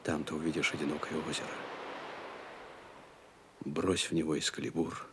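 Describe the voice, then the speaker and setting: An actor playing a dying old king in an audio play, weary, halting and tender, with a breathy, weak voice. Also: a bass voice, level tone, noisy background. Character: dying old king, weary, grieving, gentle with his squire. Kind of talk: Soviet audio play acting.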